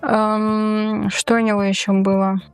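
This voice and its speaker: A woman co-hosting a show in casual, animated, joking conversation, speaking colloquially. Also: mid-range voice, slow, clear, resonant tone, faint music behind. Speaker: co-host of a Tatar language-learning show. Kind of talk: casual playful chat and quiz banter.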